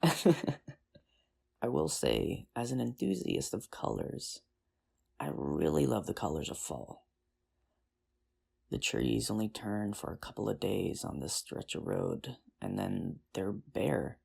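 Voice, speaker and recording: Nerdy teacher on a date asmr roleplay acting, really fry-heavy husky voice, kinda hesitant and rambly, pitch moves around a lot. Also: big pitch swings, slow pace, a little mellow, heavily acted. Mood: mild grumbling. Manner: hesitant, rambling, self-deprecating. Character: nerdy, earnest high school teacher nervously courting a date.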